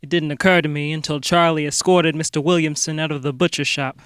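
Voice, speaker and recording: Earnest, self-important sleuth played by a man, lighter-pitched, dry close sound, comedic detective drama. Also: steady tone, brisk, a bit bright, clear, resonant tone, dry recording, close to an everyday voice. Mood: solemn, accusing. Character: self-serious part-time vegan amateur detective.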